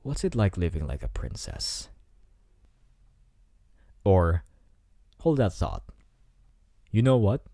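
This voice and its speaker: A man's voice: fairly low pitch, mellow, dry recording, exaggerated voice. Guy playing a cocky flirty delinquent, asmr roleplay, teasing, really swoopy intonation, dry close mic. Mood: teasing, playful. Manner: teasing, smug, drawn-out delivery. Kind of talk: intimate ASMR roleplay acting. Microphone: close microphone in a dry space.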